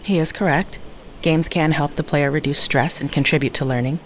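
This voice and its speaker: A woman acts out a careful, motherly mentor with a very low female voice. She speaks formally, in measured and precise phrases. The audio drama has faint ambient noise behind her. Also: steady tone. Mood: formal, composed.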